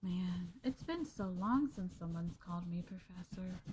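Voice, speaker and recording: A young woman streamer chatting gently and sweetly with her viewers in a maid roleplay, calling them master. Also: lowish voice, expressive, unhurried, a little hiss or hum, poor audio.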